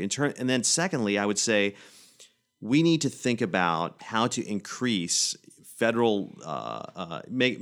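Guest doctor from Tennessee, male, slightly low voice, earnest and halting with lots of "you know"s. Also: a bit bright. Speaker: guest physician. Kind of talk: radio interview answers.

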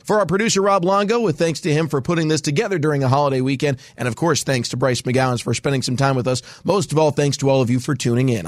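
A man's voice: mid-range voice, no echo. A man hosting a sports podcast interview in a polished broadcaster's style. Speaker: sports podcast host and radio play-by-play broadcaster.

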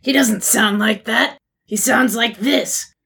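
A woman playing a brash, self-absorbed rocker villain with a very raspy voice and a dismissive manner. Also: on the high side, slow, fairly bright tone, full character voice.

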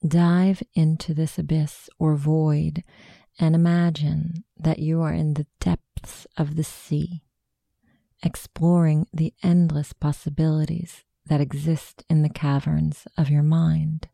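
Female meditation guide, low-pitched and unhurried, with a very soft dark tone and gravelly creak. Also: little pitch movement, no echo. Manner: slow, hushed, soothing instruction.

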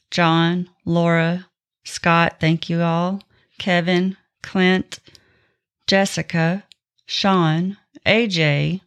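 A woman co-hosting a podcast, chatting informally as she shares announcements with listeners. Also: lowish voice. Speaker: podcast co-host. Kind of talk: casual podcast chat with announcements.

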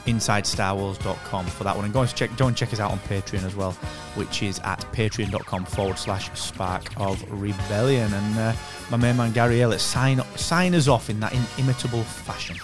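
A man's voice: on the low side, steady tone, brisk, few pauses, music in the background. A man co-hosting a podcast in a casual, chummy, jokey way. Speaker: podcast co-host. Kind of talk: casual chat.

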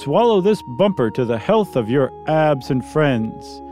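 A man voicing a blustering, cruel king who treats everything as a joke, in a booming, drawn-out theatrical delivery. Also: very animated, dark tone, soft background music. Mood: boisterous. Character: blustering, cruel male king, a tyrannical joker.

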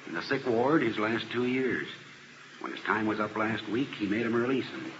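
An actor playing a weary police officer in a 1950s radio drama, speaking matter-of-factly. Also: male voice, fairly low pitch, normal speed, close to an everyday voice. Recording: dull, noisy old radio recording.

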